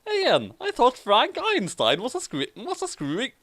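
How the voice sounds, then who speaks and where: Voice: mid-range voice, huge pitch swings, normal speed, a bit bright, dry recording. A host doing a comic dramatic reading of fan fiction with casual banter, theatrical and prone to stumbling and repeating phrases.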